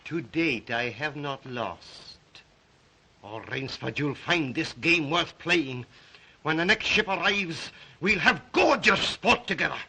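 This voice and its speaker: An actor playing a suave, psychotic aristocratic hunter of men, courteous and menacing in an old 1930s melodrama style. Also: male voice, on the high side, animated, takes it slowly, bright, forceful tone, breathy edge, full character voice, rough recording. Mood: proud, eager. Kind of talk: 1930s melodrama film acting.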